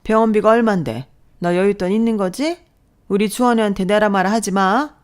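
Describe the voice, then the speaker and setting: A woman voicing a domineering middle-aged mother-in-law who sneers and belittles in a sharp, high voice, as part of a told story. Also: fairly quick, lightly acted. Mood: contemptuous. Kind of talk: storytelling with acted dialogue.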